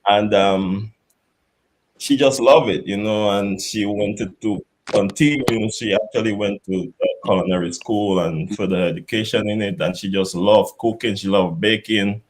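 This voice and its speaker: A man with a Dominican accent, a chef answering interview questions in a relaxed, reminiscing way. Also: on the low side, a little slow, slight background noise, rough recording. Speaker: chef guest.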